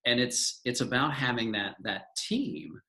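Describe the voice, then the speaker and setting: A man's voice: mid-range voice, unhurried, sharp tone. A middle-aged man moderating a live panel, enthusiastic, often repeating words as he builds his questions.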